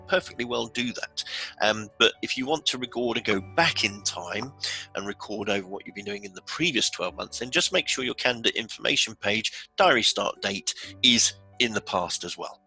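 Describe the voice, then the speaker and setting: Bright, forceful man presenting a tutorial. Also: on the low side, vocal fry, rough recording. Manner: informal, step-by-step explaining. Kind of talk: casual instructional screencast walkthrough.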